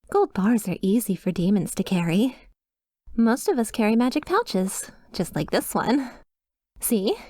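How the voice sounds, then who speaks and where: A woman's voice: medium pitch, a little mellow, no echo, studio quality, natural voice. A woman playing a cheerful demon receptionist in an ASMR roleplay, with polished, reassuring customer-service politeness and a slyly sinister undertone. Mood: courteous. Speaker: hotel receptionist character.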